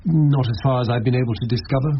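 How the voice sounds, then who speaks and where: A man's voice: medium pitch, fast, natural voice. An actor playing a well-to-do older English estate agent and father in a radio drama, polite, hesitant and restrained. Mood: guarded. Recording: muffled, noisy lo-fi radio recording.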